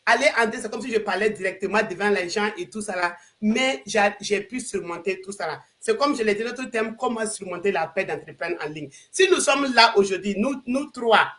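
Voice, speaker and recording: A woman's voice: medium pitch, animated, fairly quick, few pauses, a bit bright. A woman from Burkina Faso hosts a live online chat, hesitating and restarting her phrases. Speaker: livestream host.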